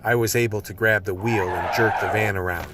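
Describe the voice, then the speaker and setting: A man's voice: lowish voice, steady tone, brisk, far from the mic, heavy background noise, close to an everyday voice. A man playing a tough, gun-toting action hero who narrates his story in a gritty, colloquial radio play style. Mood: gritty recounting. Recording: music bed under the voice.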